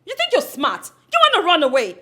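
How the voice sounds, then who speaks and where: Young woman as an actress plays her, defiant and accusing, high pitched, bright tone, lively swings. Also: quick pace, full character voice. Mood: taunting. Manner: defiant, accusing, rising to near-shouting. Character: defiant young woman fighting her uncle over her father's company. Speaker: daughter confronting her uncle. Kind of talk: film drama acting.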